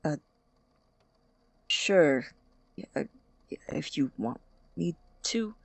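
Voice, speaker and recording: An actor playing an awkward, anxious young male college student in an audio drama, halting and rambling, with a creaky voice. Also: mid-range voice, animated, dry recording, full character voice, rough recording. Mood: flustered. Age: young adult. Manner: halting, stammering, rambling, nervous laughs. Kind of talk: audio drama acting as a personal voice recording.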